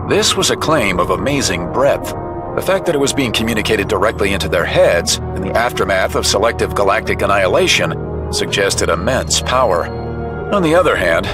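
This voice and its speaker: A man reading dramatic scripted narration for a comic-book adventure. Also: sits low.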